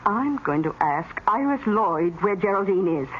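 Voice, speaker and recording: A woman's voice: medium pitch, animated, a little slow, close to an everyday voice. An actress playing an earnest, insistent older aunt in an old radio drama, genteel and fussy in her emphatic delivery. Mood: eager, earnest. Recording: muffled phone-like vintage radio recording, hissy.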